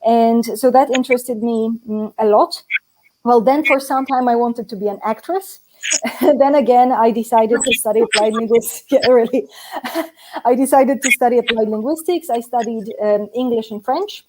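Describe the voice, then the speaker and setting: A woman's voice: a little high, moderate pace, noisy background. A young adult woman from Poland, a native Polish speaker, chatting as a guest on a live stream with frequent laughs and fillers, speaking over a video call from an office. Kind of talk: casual live-stream chat. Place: translation agency office.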